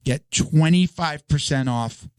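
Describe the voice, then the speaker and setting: A hoarse man hosting a podcast, reading sponsor ads with stumbles and filler words. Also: fairly high pitch, takes it slowly, darkish tone, a little hiss or hum. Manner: stumbling, filler-heavy ad reads. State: hoarse, losing his voice. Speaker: podcast host.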